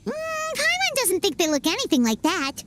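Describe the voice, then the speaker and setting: A woman's voice: very high-pitched, huge pitch swings, moderate pace, a bit bright, full character voice. A woman voicing a tiny, chatty girl companion in a game who keeps asking curious questions. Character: tiny floating girl companion, chirpy and nosy. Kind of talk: game voice acting.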